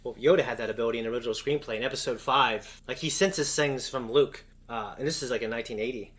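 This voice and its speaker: A man's voice: mid-range voice, fast talker, fairly bright tone. A man co-hosting a casual fan discussion, talking in a rambling, enthusiastic way. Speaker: co-host of a reaction show.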